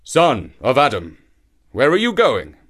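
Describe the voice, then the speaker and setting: A man voicing a friendly, helpful ape in a folktale, with a hearty, loud delivery. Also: medium pitch, wildly swooping pitch, unhurried, full character voice.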